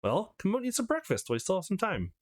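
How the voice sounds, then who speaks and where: Man putting on a sweet, high-pitched mother. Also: wildly swooping pitch, racing. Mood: cheerful. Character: sweet, welcoming mother serving breakfast.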